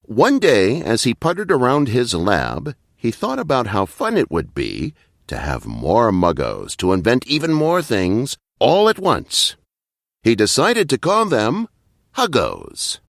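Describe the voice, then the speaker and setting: Male narrator for children's picture books: very animated pitch, slow deliberate read, dry studio-style sound. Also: gravelly, no echo. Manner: expressive, deliberate read-aloud delivery. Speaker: storyteller. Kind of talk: children's bedtime story narration.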